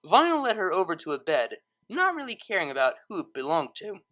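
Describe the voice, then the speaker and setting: A woman reading a story aloud as narrator, in expressive scripted narration. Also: fairly low pitch, brisk, muffled recording.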